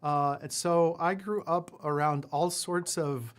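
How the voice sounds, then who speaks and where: Casting: fairly high-pitched man, forties, Spanish first language, rambling and self-deprecating. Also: fairly even intonation, takes it slowly, clear, resonant tone. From Puerto Rico. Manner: rambling storyteller with frequent fillers and self-deprecating asides. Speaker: guest, lawyer and entrepreneur. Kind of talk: casual interview chat.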